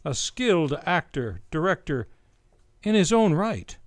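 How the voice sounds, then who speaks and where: A man's voice: on the high side, very animated, a little slow, no echo. A man hosting an old-time radio drama hour, reading a scripted introduction in a grandiose, theatrical showman's manner. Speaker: radio play host and announcer.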